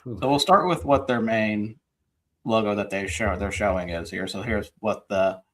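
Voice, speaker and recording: A man producing the show, walking the hosts through team logos in a low-key way. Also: normal speed, fairly bright tone, slight background noise. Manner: low-key, guiding the segment.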